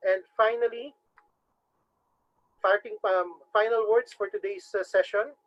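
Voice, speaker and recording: A man with a Filipino accent teaching a webinar, formally addressing the audience as ladies and gentlemen. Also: high-pitched, steady tone, measured pace. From Philippines. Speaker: webinar trainer. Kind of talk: instructional webinar talk. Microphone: video call microphone. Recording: dull lo-fi webinar audio.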